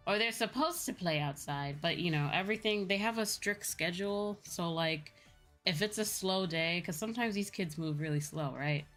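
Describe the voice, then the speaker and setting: A woman with a Midwestern American accent telling stories casually as a livestream host, in an animated, chatty manner. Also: on the low side, moderate pace. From Midwestern United States. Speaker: streamer and VTuber host. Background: background music.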